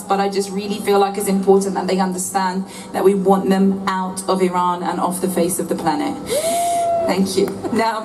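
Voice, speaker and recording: A woman hosting a rally, introducing speakers in a plain, heartfelt way. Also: medium pitch. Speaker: rally host. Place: outdoor street rally. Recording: distant mic with outdoor noise.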